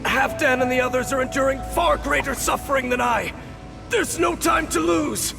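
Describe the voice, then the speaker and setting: A man's voice: extremely high pitch, gravelly, over music. Grave stoic swordsman. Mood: urgent. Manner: measured, solemn, commanding. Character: grave stoic male swordsman captain, reserved. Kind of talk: video game cutscene voice acting. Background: game score.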